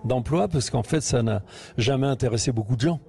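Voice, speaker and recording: A man hosting a live radio interview from a museum, chatty and quick with short replies. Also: mid-range voice, gravelly, dark tone, breathy edge. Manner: chatty, frequent short backchannels. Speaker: radio host.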